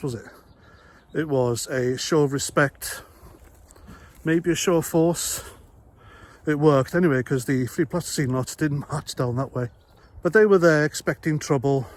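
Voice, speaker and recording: A man from Wales with a Welsh accent, an ex-army vlogger giving a blunt, conversational opinion monologue full of rhetorical questions. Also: fairly high pitch.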